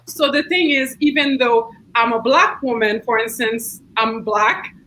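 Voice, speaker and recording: A woman's voice: on the high side, unhurried, pushed and bright, a little hiss or hum, low-quality recording. A woman with a Haitian accent, a city council member speaking earnestly and thoughtfully in an online book discussion, often restarting her sentences. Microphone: video call.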